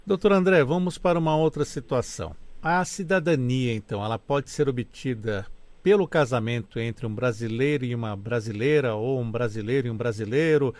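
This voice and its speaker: A man's voice: medium pitch, normal speed, darkish tone, no room sound. Polite male interviewer, dry sound. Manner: polite, formal questioning. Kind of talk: interview questions.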